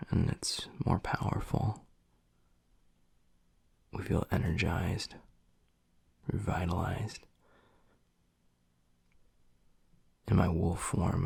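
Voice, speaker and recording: A man playing a tender, gruff young werewolf boyfriend in an intimate audio roleplay, speaking in a hushed, husky, earnest way close to the mic. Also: dry recording, exaggerated voice. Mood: earnest, reverent. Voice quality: low, gravelly, husky, breathy. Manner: hushed, slow, earnest, halting. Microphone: close, dry microphone.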